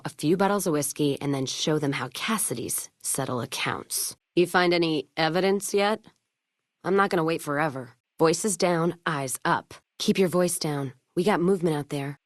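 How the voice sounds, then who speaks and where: A woman's voice: sits low, dry recording, studio quality, lightly acted. A woman playing a tough, blunt, hard-bitten caravan owner in a video game, with a sardonic manner. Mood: grim, determined, then gruff, sardonic. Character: tough, foul-mouthed, whiskey-drinking woman caravan owner of the wasteland. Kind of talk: video game character voice lines.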